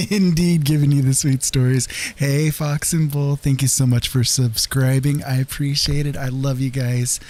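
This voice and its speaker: A man's voice: mid-range voice, fairly even intonation, measured pace, darkish tone. A man hosting a late-night livestream from his rural home, chatting casually with viewers, rambling with many fillers and frequent laughs. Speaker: livestream host.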